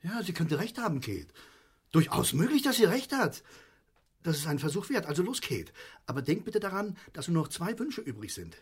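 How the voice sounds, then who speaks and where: Brief: an insistent, exasperated dad in a radio play, husky, animated, on the high side. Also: male voice, moderate pace, heavily acted. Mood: coaxing. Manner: coaxing, insistent, lecturing. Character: pushy, sexist, exasperated family father. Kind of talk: radio drama acting.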